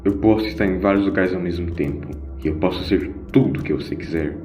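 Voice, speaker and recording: Grandiose celestial renegade for audio drama, man acting, dark declamatory delivery, lowish, boxy echoey sound. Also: fast, highs cut off, faint music behind, lightly acted. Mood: grandiose, zealous. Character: the Wanderer, renegade celestial being, grandiose would-be utopian.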